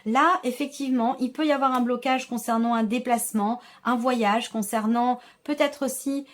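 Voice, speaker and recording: Female tarot host, slightly high register, conversational and reassuring delivery aimed straight at the viewer, with searching, repeated phrasing. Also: fairly even intonation, moderate pace. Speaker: tarot reader, channel host.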